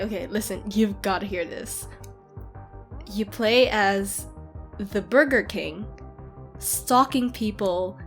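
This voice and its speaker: A woman playing an excitable, teasing, nerdy gamer girlfriend in a casual audio roleplay. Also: fairly high pitch. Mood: casual, curious. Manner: giggly, playfully ranting, animated casual talk. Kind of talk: audio roleplay, casual one-sided chat to a partner. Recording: background music under the voice. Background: game-like ambient music.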